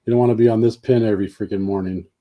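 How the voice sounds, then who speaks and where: A man in his late forties chatting casually in an online group call, talking plainly and flatly and saying "freaking" a lot. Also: on the low side, rapid-fire, dull audio. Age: 40s. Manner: flat, plain-spoken, says "freaking" a lot. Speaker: YouTuber in a group chat.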